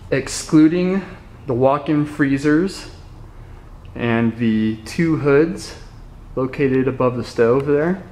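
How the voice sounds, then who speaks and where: A man walks through an empty restaurant and kitchen, naming each item in an unhurried, measured way. His voice sits in an ordinary middle register. A faint hiss of room ambience lies under the camera recording. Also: mid-range voice, a little mellow, rough recording. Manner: measured, listing items one by one. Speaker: auction walkthrough narrator. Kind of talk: slow walkthrough narration.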